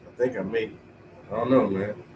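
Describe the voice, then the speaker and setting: A man thinking aloud in a relaxed, rambling way as he paints, sounding stuffy and tired with a cold. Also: fairly low pitch, fairly quick, far from the mic, a little hiss or hum, poor audio. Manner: laid-back, rambling, thinking aloud, swears casually. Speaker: painter streaming while painting. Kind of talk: casual talk to himself while painting.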